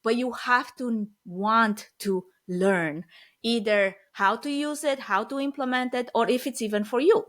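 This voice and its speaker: A woman's voice: a little high, unhurried. A woman in her forties with a Romanian accent, a non-native English speaker talking animatedly on a video call as an interview guest. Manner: animated, talks with her hands, uneven tempo. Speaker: guest, AV production business owner. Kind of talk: casual interview chat. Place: home office with lit bookshelves.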